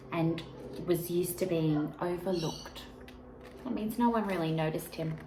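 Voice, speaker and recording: A woman reading a children's picture book aloud like a teacher, expressively and deliberately, with emphasis on key words and asides to young listeners. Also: fairly low pitch. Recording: echoey room with faint background noise.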